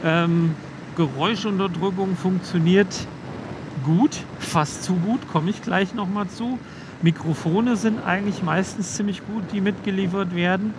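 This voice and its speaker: A young man riding a motorcycle on country roads, casually explaining gear through his helmet intercom mic, relaxed, with frequent filler words. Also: high-pitched, a little slow. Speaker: motovlogger. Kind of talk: casual motovlog talk while riding. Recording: helmet mic with road noise. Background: road and vehicle noise.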